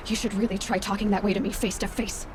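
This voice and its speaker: A woman's voice: medium pitch, fairly even intonation, fairly quick, background noise, natural voice. An actress playing a sardonic, defiant young woman adventurer in a video game, speaking in a wry, blunt, impatient way. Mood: irritated.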